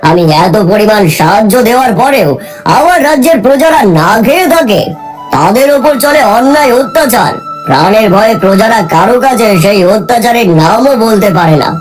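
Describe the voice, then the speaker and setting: A woman's voice: on the high side, lively intonation, a little fast, faint music behind, lightly acted. A woman voicing a stern but caring middle-aged king who speaks firmly and earnestly. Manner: firm and earnest, vowing justice.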